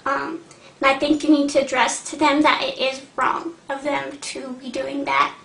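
A young woman talking to camera in a casual vlog, hesitant and earnest, with many pauses and fillers. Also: sits high, little pitch movement, gravelly, a bit bright. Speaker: vlogger. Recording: echoey room.

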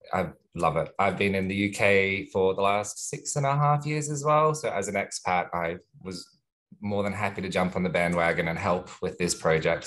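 A man with a softened Australian accent, introducing himself on a webinar in an upbeat, chatty way. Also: sits low. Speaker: sustainability manager at a nursery group. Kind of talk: friendly self-introduction.

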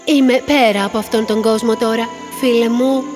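A woman's voice: fairly high pitch, fairly even intonation, darkish tone, lightly acted. Tender, sorrowful mare in long reverb voiced by woman. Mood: tender, mournful. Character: gentle, world-weary chestnut mare, a loving friend. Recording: long cavernous reverb, faint music.

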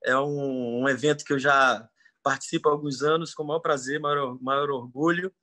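A man's voice: mid-range voice, fairly even intonation, normal speed, sharp tone, no echo. A man from Salvador, speaking earnestly and thoughtfully as a panel guest on a video call from home, often restarting his phrases. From Salvador, Bahia, Brazil. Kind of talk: online panel discussion. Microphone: computer mic on a video call.

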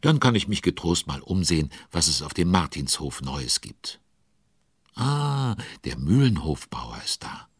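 A man playing a chatty storyteller in a children's audio drama, with an expressive, conversational manner. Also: rumbling low, a little slow, breathy edge, dry recording, studio quality, heavily acted. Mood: engaged storytelling. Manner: conversational, expressive, asking questions. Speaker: narrator.

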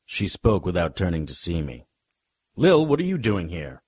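A man's voice: low-pitched, big pitch swings, moderate pace, darkish tone, breathy edge, dry recording, studio quality, full character voice. An actor playing a hard-boiled, wisecracking private detective, narrating a noir radio drama in a wry, tough-guy manner. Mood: wary.

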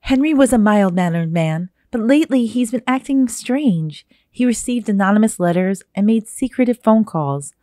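A woman's voice: a little high, animated, normal speed, hardly any pauses, dark tone, no echo, clean studio sound, natural voice. A woman playing a distraught, mysterious wife seeking help in an old-time radio drama. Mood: worried.

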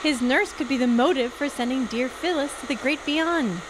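Casting: actress as a wry young female gumshoe for vintage radio mystery, highish voice, suspicious and teasing, faint hiss. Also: normal speed, close to an everyday voice. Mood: arch, suspicious. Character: sassy, suspicious young female private eye. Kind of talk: 1940s radio detective drama acting. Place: live on a stage before a curtain. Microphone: stand microphone.

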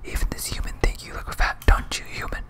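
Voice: a little fast, bright, forceful tone, close to an everyday voice. Whispered quarrelsome goblin general, read out by a streamer. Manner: blunt, broken grammar. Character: dim, quarrelsome goblin general in a fantasy game.

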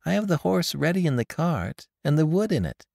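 A man doing a gruff, scornful woodman. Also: a little high, sing-song, moderate pace, a little mellow, dry recording, clean studio sound, voice pushed up, exaggerated voice. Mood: urgent. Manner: blunt, scornful. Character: gruff rough-spoken male woodman.

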